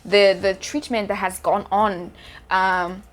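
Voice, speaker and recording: A woman's voice: medium pitch, level tone, slow. A young Nigerian woman with a Nigerian accent, giving chatty, direct hair care advice to her viewers in a casual vlog. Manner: chatty, direct, addresses viewers as sis and guys.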